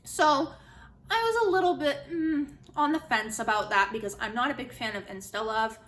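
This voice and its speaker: A woman giving a casual, chatty spoken book review, retelling and commenting in an animated way. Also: a little high, normal speed. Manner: animated, chatty, acts out quotes informally. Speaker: book reviewer, host of a literary video series.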